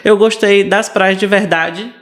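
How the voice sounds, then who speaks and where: A woman's voice: mid-range voice, steady tone, measured pace. A woman with a Brazilian accent speaks as a podcast co-host. She explains in a casual way, stressing and repeating her points. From Salvador, Brazil.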